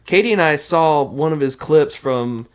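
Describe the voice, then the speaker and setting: A man's voice: animated, moderate pace, clear, resonant tone. Nasal-voiced man chatting easily. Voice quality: somewhat nasal, fairly high voice. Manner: easygoing, anecdotal storytelling. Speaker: podcast co-host. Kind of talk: casual podcast chat.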